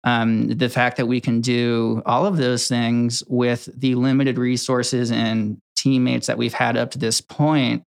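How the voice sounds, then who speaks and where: Young man, from Connecticut, casual interview guest, average pitch, filler-heavy rambling. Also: measured pace, gravelly, few pauses, clear, resonant tone. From Connecticut, USA. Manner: relaxed, rambling, many ums and restarts. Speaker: video editor, interview guest.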